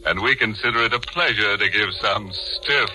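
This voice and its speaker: An actor playing a macabre horror show host, sardonic and mock-friendly, in 1940s radio style. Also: male voice, fairly low pitch, normal speed, natural voice, rough recording. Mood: sardonic, gleeful. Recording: 1940s radio recording with loud music.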